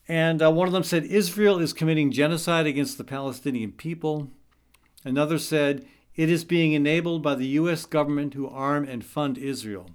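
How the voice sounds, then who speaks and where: A man hosting a radio talk show, chatting casually and rambling with many fillers and restarts. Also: a little high.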